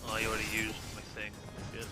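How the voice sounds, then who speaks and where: A man playing the raid with friends, giving calm callouts and reassurance. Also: on the low side, quick pace. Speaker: fellow raid player. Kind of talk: live gameplay chat. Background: loud game music.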